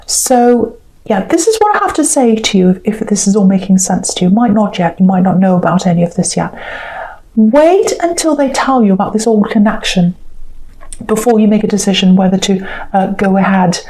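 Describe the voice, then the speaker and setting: A woman with a soft-edged, mellow voice talks through a tarot reading. She speaks unscripted and straight to the listener, feeling her way through each thought and circling back to repeat key phrases. Her pitch sits in an ordinary middle range for a woman. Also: lively intonation, normal speed, poor audio. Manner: hesitant, reflective, repeats phrases for emphasis. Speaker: tarot reader, host. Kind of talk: intuitive tarot reading, unscripted talk to the viewer.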